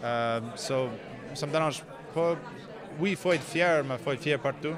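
An Acadian man talks casually as an interview guest, slipping English "so" between phrases. His voice sits a little high. A busy urban crowd is clearly heard around him. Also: normal speed. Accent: Acadian French. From Nova Scotia, Canada. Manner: drops English "so" into sentences. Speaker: musician interviewee.

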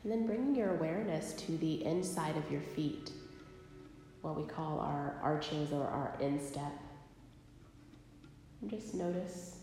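A woman leading a guided body scan meditation, speaking gently and unhurriedly with long pauses. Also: low voice, soft background music. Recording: very echoey live recording, long reverb.

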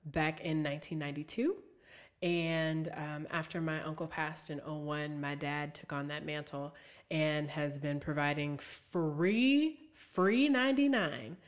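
A young woman with a low-pitched voice talks in a loose, animated way, peppering her sentences with fillers. She is a singer from Ohio chatting as an interview guest. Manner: animated, many fillers like "like" and "um", laughs easily. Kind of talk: casual interview chat.

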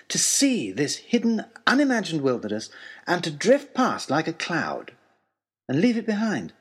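Audio drama lead, male actor: earnest gentleman adventurer reading his letters, highish husky voice, animated and full of wonder. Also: heavily acted. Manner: literary, wonderstruck, expressive storyteller. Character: Timothy Hope, earnest, eloquent gentleman adventurer writing letters home. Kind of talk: dramatised first-person letter narration.

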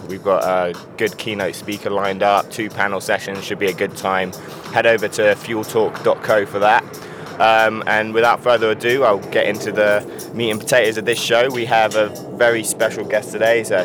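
A man hosting a podcast, asking questions in a loose style full of "uh" and "um". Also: on the low side. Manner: many fillers, loose and friendly questioning. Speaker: podcast host. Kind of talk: casual interview chat.

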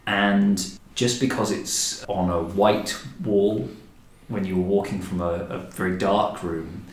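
A man hosting a podcast in a measured, articulate, deliberate and descriptive way. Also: deep voice, steady tone. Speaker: podcast host, director of a historic house. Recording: roomy, echoing indoor sound.

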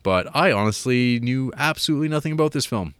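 A man's voice: mid-range voice, expressive, fast talker, fairly bright tone, clear, resonant tone, no echo. A man co-hosting a podcast, reviewing a film in a rambling, casual way with lots of fillers and wry asides. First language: English.

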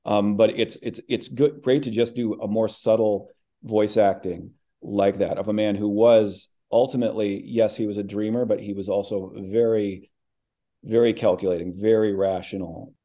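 A man's voice: lowish voice, fairly even intonation, moderate pace, mellow. A man from the American Midwest, a voice actor, talking about his work as an interview guest, thoughtful and rambling with many restarts.

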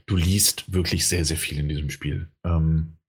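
A man's voice: very deep voice, fairly even intonation, a little slow, breathy edge. A man chatting casually about a game he is playing, rambling with many fillers and restarts. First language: German. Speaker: game reviewer. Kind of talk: casual podcast chat.

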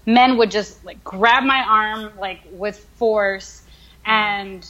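A woman's voice: mid-range voice, slow, pushed and bright, muffled recording. A young woman in her twenties from the United States, talking casually as an interview guest, with many fillers as she thinks aloud. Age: 20s. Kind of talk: casual conversation.

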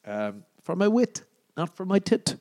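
Irish man rambling with a mellow voice. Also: sing-song, unhurried. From Ireland. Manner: rambling, swears a lot, jumps to high squeaky voices for jokes. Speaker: podcast host. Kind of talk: casual comedic monologue.

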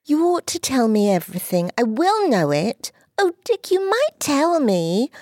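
A woman voicing an earnest, jealous little girl in an audiobook, high and eager. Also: sing-song, a little slow, a little mellow, dry recording, clean studio sound, full character voice. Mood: pleading. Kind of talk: audiobook character voice.

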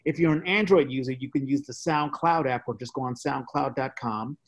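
A man hosting a podcast, reading out announcements in an informal, halting way. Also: medium pitch. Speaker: podcast host. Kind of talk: casual podcast sign-off and announcements.

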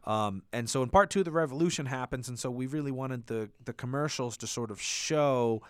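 A man chats casually as a podcast host, explaining things a little hesitantly. His voice is smooth and mid-pitched, recorded close and dry. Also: a little fast, no echo. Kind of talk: casual chat.

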